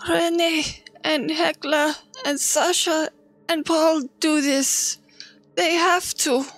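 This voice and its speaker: A woman playing a frightened, traumatized child in a horror audio drama, speaking in halting, broken sentences. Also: high-pitched, fairly even intonation, a bit bright, faint music behind, poor audio. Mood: distressed, tearful.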